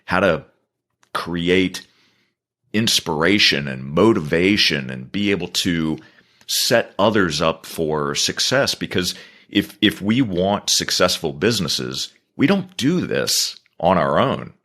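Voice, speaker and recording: A man answering as a guest on a business podcast, earnest and measured, building his points in repeated phrases. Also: sits low, animated, fairly bright tone.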